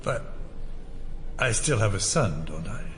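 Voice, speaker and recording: An actor playing a broken, subservient man clinging to his past, halting and plaintive, in an audio drama. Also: fairly low pitch, very animated, slight background noise. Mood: pleading.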